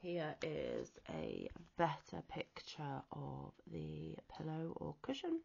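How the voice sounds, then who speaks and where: A woman from the UK who is ill and wheezy with a chesty cough, chatting casually off camera about a crochet magazine with frequent pauses and ums. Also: low voice, wildly swooping pitch, vocal fry, darkish tone, muffled recording, slight background noise. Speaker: crochet vlogger. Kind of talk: casual show-and-tell chat. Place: at home, off camera.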